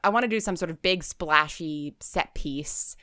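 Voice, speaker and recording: A woman's voice: deep voice, moderate pace, pushed and bright, no echo, clean studio sound. An American woman talking casually as an interview guest, telling stories and acting out her own thoughts. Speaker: podcast guest, audio drama writer and creator.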